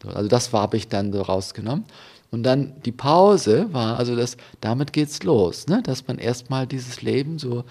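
A man's voice: medium pitch, expressive, moderate pace, darkish tone. A man giving an informal spiritual reflection as a guest, explaining step by step and often checking for agreement with small tag questions. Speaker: interview guest, spiritual devotee.

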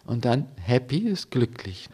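A man's voice: medium pitch, very animated, unhurried, warm tone, slightly rough. A man giving an informal spiritual reflection as a guest, explaining step by step and often checking for agreement with small tag questions. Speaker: interview guest, spiritual devotee.

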